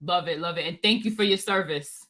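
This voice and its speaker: A woman's voice: fairly low pitch, brisk, a bit bright. A woman hosting an interview podcast, enthusiastic and bubbly, speaking over a video call. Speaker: podcast host.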